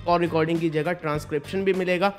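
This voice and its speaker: A man's voice: a little high, steady tone, fast talker, soft background music. A man, giving a casual, conversational tech explainer to viewers as a video host, emphasizing points by repeating them. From India. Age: 20s. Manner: conversational, repetitive emphasis, addresses viewers informally. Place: small indoor studio. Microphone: desk microphone close in front.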